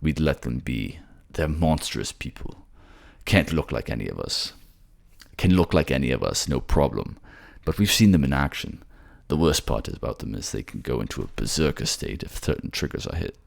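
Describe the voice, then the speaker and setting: A man playing a cold, proud, jealous king in a fantasy audio drama, speaking in a curt, brooding, halting way. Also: very deep voice, lively intonation, gravelly, heavily acted. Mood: grim.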